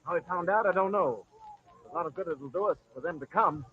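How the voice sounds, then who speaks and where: A man's voice: high voice, brisk, close to an everyday voice. An actor playing a worried, blunt frontiersman in an old radio western, with an urgent, folksy delivery. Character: worried, blunt American frontiersman in a besieged band. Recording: dull old radio recording.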